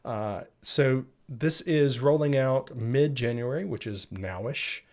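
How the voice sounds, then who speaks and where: A man's voice: mid-range voice, a little mellow. A man co-hosting a tech news show, talking casually and unscripted as he explains things step by step.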